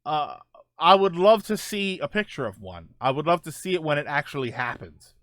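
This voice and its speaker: A man's voice: on the high side, big pitch swings, moderate pace, fairly bright tone, dry recording, studio quality. A middle-aged man hosting a casual live stream, answering viewer questions in a rambling way with many fillers and restarts.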